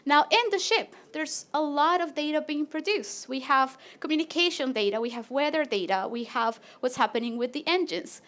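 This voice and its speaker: A woman with a Latin American Spanish accent and a high, bright voice presents her research on stage. She talks in a friendly, animated way, with the odd filler and restart. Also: normal speed, hardly any pauses. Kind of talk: live PechaKucha talk to an audience.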